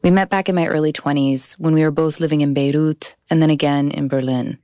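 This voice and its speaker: A woman in her thirties hosting and narrating a scripted documentary podcast, measured and deliberate, slowing down on key phrases. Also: low voice, fairly even intonation, warm tone, no echo.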